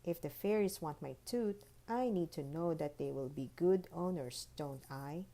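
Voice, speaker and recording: A woman's voice: deep voice, a little slow, dark tone. A woman voicing a curious, polite and eager little girl in a children's storybook. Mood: polite, hesitant. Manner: polite, questioning. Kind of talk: children's storybook read-aloud.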